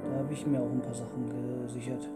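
A man's voice: medium pitch, flat delivery, quick pace. A man chatting casually and playfully as he plays a video game for a let's play. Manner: jokey, playful with words. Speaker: gaming let's player. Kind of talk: casual chat during gameplay. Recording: guitar music under the voice. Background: guitar music.

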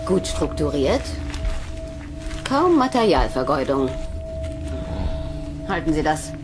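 An actress playing a seasoned, wry technician in a sci-fi audio drama, matter-of-fact and unflappable. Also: female voice, low-pitched, sing-song, slow, over music, heavily acted.

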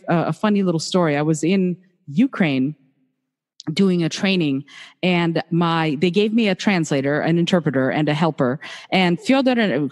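A woman's voice: deep voice, darkish tone, long reverb tail. A woman born in Moldova, hosting a podcast and chatting casually with her guest. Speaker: podcast host. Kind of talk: casual interview.

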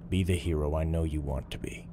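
An actor playing a calm, earnest police officer in an audio drama, negotiating in a measured, pleading way. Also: male voice, a bass voice, background noise.

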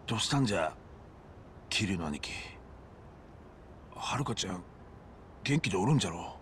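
An actor playing an excitable, big-hearted yakuza henchman in a game drama, with a Hiroshima accent. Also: deep voice, moderate pace, slight background noise. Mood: concerned. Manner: excitable, forceful dialect talk. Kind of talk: dramatic Japanese video game acting.

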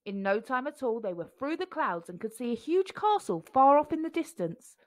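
Children's storybook narrator, woman, fairly high-pitched, lively and expressive read-aloud. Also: moderate pace.

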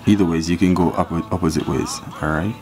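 Low-voiced man, relaxed how-to host, talks over music. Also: fairly quick. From Jamaica. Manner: relaxed, step-by-step instruction with counting. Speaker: fishing channel host. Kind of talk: casual hands-on tutorial.

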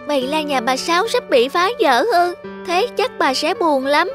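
A woman's voice: high-pitched, lively intonation, moderate pace, voice pushed up. Ngân, a woman voicing a sweet little girl. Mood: eager. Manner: bright, polite, eager. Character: sweet curious little girl, kind-hearted. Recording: music bed under voice.

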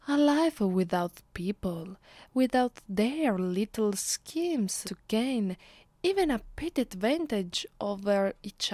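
A woman with an Italian accent playing an imperious, mocking ancient vampire mistress in an ASMR roleplay, speaking deliberately and often dropping into a whisper. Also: medium pitch, dark tone, no echo. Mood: wry, superior. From Italy. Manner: slow, haughty, deliberate with pauses, often breathy whispering. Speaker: ASMR voice actress. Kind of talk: ASMR roleplay, slow intimate delivery. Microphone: close, dry microphone.

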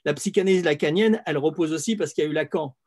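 A man's voice: fairly high pitch, fast talker, darkish tone. A man moderating an online panel on a video call, polite and hesitant, often repeating words.

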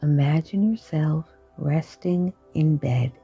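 A woman guiding a sleep meditation in a slow, soft, partly whispered voice. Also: sits low, gravelly, breathy edge, muffled recording, soft background music. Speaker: meditation guide.

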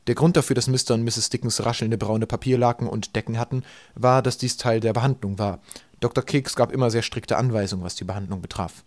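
Quick-paced male audiobook narrator. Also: mid-range voice, fairly even intonation, dry recording. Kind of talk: scripted comic children's story narration.

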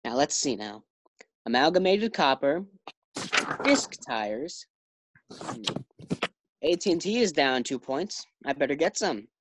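A woman's voice: sits low, very animated, measured pace, long pauses, noisy background. A woman playing a distracted, affable husband with hesitant delivery in an old-time radio sitcom. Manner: hesitant, absent-minded. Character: distracted, affable husband reading his morning paper.

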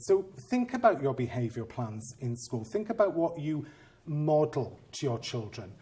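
A man's voice: fairly high pitch, a little mellow, slight background noise. A Welsh man in his forties, a Welsh speaker first, giving a conference talk to teachers in a training room. He is theatrical and teasing, acting out quoted voices and playing to the seated audience on a lapel mic. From Wales.

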